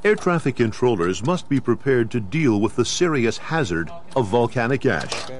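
A man with an American accent gives measured, formal, instructional narration in the style of a scripted training video. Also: mid-range voice, big pitch swings. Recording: 1990s videotape with clear background noise.

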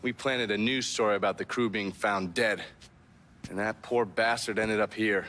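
Matter-of-fact American agent. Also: male voice, lowish voice, steady tone, moderate pace, pushed and bright. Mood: matter-of-fact, somber. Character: worldly, controlled American government agent in a suit. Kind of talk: video game cutscene acting.

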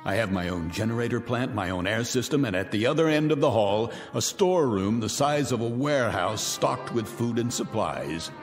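An actor playing a bitter, vengeful millionaire in a radio drama, speaking with sardonic, icy taunts. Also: male voice, mid-range voice, expressive, normal speed, hardly any pauses, long reverb tail, soft background music, close to an everyday voice. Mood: cold mocking. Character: bitter vengeful male millionaire, cold and mocking.

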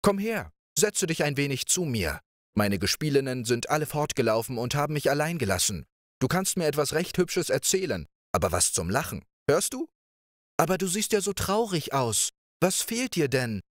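A man voicing a cheerful, carefree young princess with a playful, lively way of speaking. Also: fairly high pitch, moderate pace, no echo, clean studio sound, pitched above the performer's own voice. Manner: bright, playful, lively questioning.

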